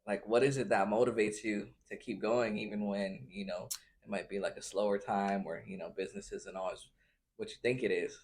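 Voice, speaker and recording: A man's voice: lowish voice, fairly even intonation, fairly quick. A man hosting a casual interview, asking his questions in an informal, loosely worded way. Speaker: interviewer, host. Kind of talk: casual food-tasting interview.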